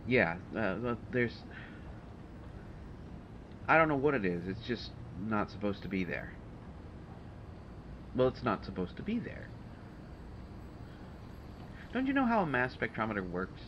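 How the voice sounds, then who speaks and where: Through a dull, noisy recording comes a sardonic male supervillain acted by a man in an intimate audio roleplay. His voice is lowish and slow. He is testy and haughty, hesitating in a dry, exasperated way. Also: big pitch swings. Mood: puzzled, exasperated.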